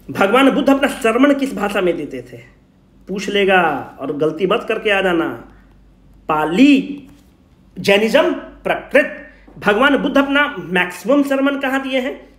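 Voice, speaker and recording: A man's voice: sits high, a bit bright. A man giving an informal exam revision lecture, asking questions and answering them himself while addressing students familiarly. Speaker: exam coaching teacher. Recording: roomy, echoing sound.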